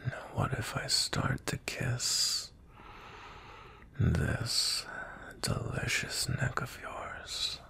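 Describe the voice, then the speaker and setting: A man playing a cold, possessive, dominant vampire knight in an intimate ASMR roleplay, with a slow, breathy, menacing murmur. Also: mid-range voice, sharp tone, exaggerated voice. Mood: seductive, menacing. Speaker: audio roleplay voice actor.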